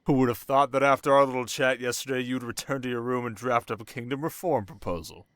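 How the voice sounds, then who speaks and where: A man playing a young, sharp, commanding desert king in an ASMR roleplay, speaking in a measured, teasing, possessive way with dramatic pauses. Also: medium pitch, dry recording, natural voice. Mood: amused, impressed. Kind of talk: scripted ASMR roleplay addressed to the listener.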